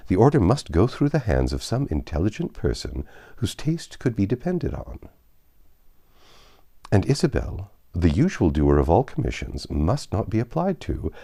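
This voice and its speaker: A man reads classic fiction as an audiobook narrator. His voice is low and mellow, and his intonation moves very widely as he tells the story. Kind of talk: expressive scripted literary narration.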